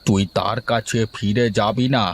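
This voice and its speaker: A man voicing a worried old father who speaks slowly to his grown daughter in a cartoon. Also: fairly low pitch, steady tone, slight background noise, lightly acted. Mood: scolding. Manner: slow, scolding then yielding.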